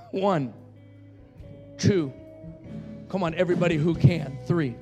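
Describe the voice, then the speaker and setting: A man's voice: a little high, slow. A man preaching a revival altar call, exhorting and urging people in repeated phrases, with worship music behind him. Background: guitar and worship music.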